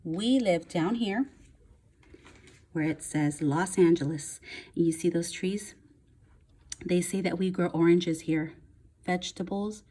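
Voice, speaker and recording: A woman's voice: fairly low pitch, slow, long pauses, dark tone, slightly rough. A woman teaching first graders, reading aloud and explaining things in simple words with emphasis on key words. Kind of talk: read-aloud lesson for young children.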